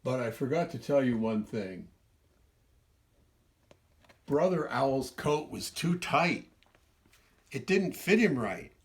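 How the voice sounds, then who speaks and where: A male storyteller with a medium-pitched voice reads a picture book aloud to children. He stretches words for emphasis and steps out of the tale to add small explanations. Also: lively intonation, slow pace, long pauses. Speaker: storyteller reading his own book.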